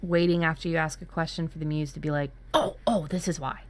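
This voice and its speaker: A woman with a slightly low voice co-hosts a writing workshop. She talks in a loose, informal style, recounting her own brainstorming and acting out little asides as she goes. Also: steady tone, fast.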